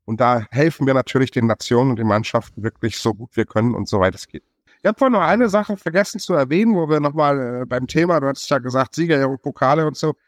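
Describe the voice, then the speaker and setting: A man from a national chefs' association explaining in a podcast conversation, listing things in a brisk, enthusiastic way. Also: medium pitch, no room sound, clean studio sound. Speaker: chef association representative.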